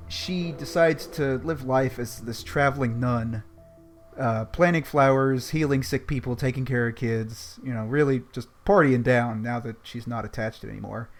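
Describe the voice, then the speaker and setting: A man's voice: medium pitch, normal speed, soft background music. A man co-hosting a show, retelling a story in a casual, wry, colloquial way. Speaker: podcast co-host.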